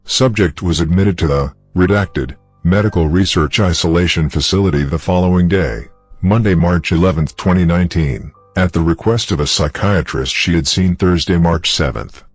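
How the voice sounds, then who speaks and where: A man performs a clinical researcher with a deep voice, reading a case log for a horror story. His delivery is formal and detached. Soft music runs underneath. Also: moderate pace. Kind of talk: scripted horror story narration, case report.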